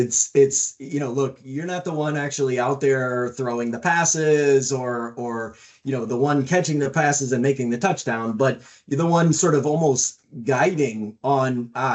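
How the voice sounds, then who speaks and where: A man's voice: medium pitch, normal speed, hardly any pauses, darkish tone. A man with a mid-range voice speaks as a panelist in a loose, conversational way. He often tags his points with "right?". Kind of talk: panel discussion.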